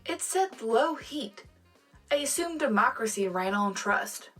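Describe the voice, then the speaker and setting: An actress playing a resourceful radio correspondent, articulate and dryly witty in scripted sci-fi banter. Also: female voice, fairly high pitch, lively intonation, measured pace, a bit bright, faint music behind. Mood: wry. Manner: wry, articulate. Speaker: radio co-host.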